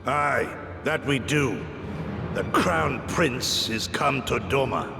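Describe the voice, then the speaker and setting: An actor playing a stern, principled old samurai warrior in a video game, with a gravelly voice and theatrical, archaic delivery. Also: male voice, mid-range voice, lively intonation, takes it slowly. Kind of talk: dramatic video game voice acting. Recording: echoey hall-like reverb under music.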